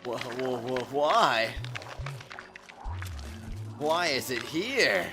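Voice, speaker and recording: A man's voice: mid-range voice, lively intonation, very slow. A man streaming a game, reading narration aloud dramatically and dropping in casual, joking commentary. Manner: dramatic read-aloud mixed with joking asides. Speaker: streamer playing a visual novel game. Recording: loud music behind the voice. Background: loud game music.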